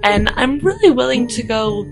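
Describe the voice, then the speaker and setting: A woman's voice: on the high side, expressive, moderate pace, music in the background. Woman improvising in-character at a tabletop game. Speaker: player in a tabletop roleplaying podcast. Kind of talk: improvised in-character roleplay chat.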